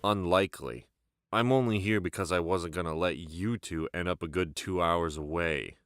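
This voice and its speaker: A man's voice: deep voice, moderate pace, fairly bright tone, clear, resonant tone, dry recording, clean studio sound. A man playing a sarcastic, reluctant teenage adventurer in an amateur script read, exasperated and argumentative. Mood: grumbling. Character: grumbling, sarcastic, reluctant male teen adventurer.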